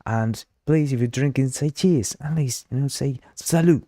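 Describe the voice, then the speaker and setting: A man's voice: medium pitch, normal speed, mellow, no echo, studio quality. A man with a Uruguayan Spanish accent, a native Spanish speaker, hosting a casual live coding stream, rambling and repeating himself as he reads the chat aloud. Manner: drinking while streaming. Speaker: livestream host.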